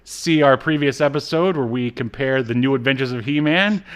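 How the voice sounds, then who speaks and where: A man's voice: mid-range voice, normal speed, fairly bright tone, clean tone. A man co-hosting a podcast, chatting casually and jokily as he rambles through his notes. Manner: jokey, rambling. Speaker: podcast co-host. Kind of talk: casual banter, reading off credits.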